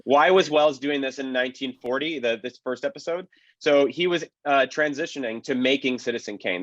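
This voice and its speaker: A man's voice: mid-range voice, a bit bright, no echo. A man speaking as a history panelist in an enthusiastic, explanatory way during a discussion. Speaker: panelist, film and radio historian. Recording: dull lo-fi audio, dry.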